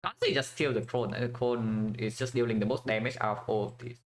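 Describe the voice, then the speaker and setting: A man's voice: medium pitch, fairly even intonation, brisk. A man from Vietnam giving casual commentary while playing a strategy game, thinking aloud with many fillers and restarts.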